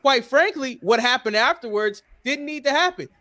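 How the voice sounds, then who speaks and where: A man's voice: very high-pitched, animated, moderate pace, sharp tone. A man hosting a wrestling podcast, giving opinionated recaps in a blunt, emphatic and sometimes profane way. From United States.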